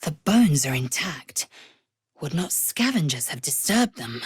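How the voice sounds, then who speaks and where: A proud, blunt alien warrior woman for a fantasy video game. Her voice is low and husky, with clipped, imperious phrasing that swings widely in pitch. She sounds haughty and scornful, as if used to being obeyed. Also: sing-song, a little slow, no echo, clean studio sound, heavily acted. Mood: brooding, appraising. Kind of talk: video game character voice lines.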